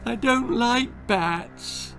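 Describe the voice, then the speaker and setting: Guy doing a little boy for a kids storybook, high n whiny, bored, drags out his pleading. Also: fairly bright tone, faint music behind, heavily acted. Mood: nervous. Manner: whining, drawn-out pleading. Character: whiny, bored, timid four-year-old boy.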